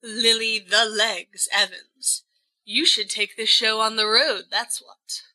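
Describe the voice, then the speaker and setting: A woman's voice: on the high side, steady tone, slow, bright, forceful tone, rough recording. A woman reading fiction aloud as a cocky, flamboyant, lovestruck young man's letters, with playful theatricality. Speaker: volunteer audiobook reader.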